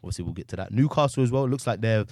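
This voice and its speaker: A man hosting a casual football podcast, slangy and animated, calling everyone bro. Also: lowish voice, rapid-fire, dry recording, studio quality. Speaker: podcast host.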